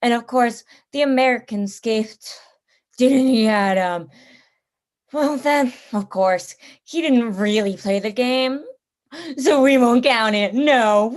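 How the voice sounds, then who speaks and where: An actress playing a genteel, cold-blooded general who hunts for sport, speaking with theatrical, smug courtesy in a radio drama. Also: female voice, on the high side, expressive, slow pace. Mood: musing, smug. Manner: theatrical, leisurely, smugly courteous.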